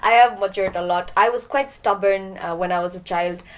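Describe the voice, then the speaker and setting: A woman's voice: medium pitch, normal speed. A young woman from Nepal, a podcast guest, talking casually with frequent ums and some Nepali phrases mixed in. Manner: hesitant, many ums, mixes Nepali phrases. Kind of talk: casual interview talk.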